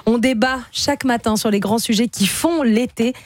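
A woman hosting a radio chat show, brisk and quick to laugh, in a studio. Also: on the high side. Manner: brisk, laughs often, announcer delivery. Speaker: radio host.

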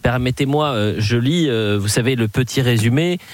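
A man's voice: mid-range voice, normal speed, no room sound. Earnest male radio talk host. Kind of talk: radio talk show hosting. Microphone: studio microphone.

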